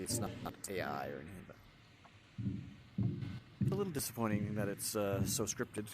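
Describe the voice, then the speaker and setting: A man giving casual, improvised gameplay commentary, sarcastic and prone to swearing. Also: lowish voice. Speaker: gamer and reviewer. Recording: noisy gameplay capture.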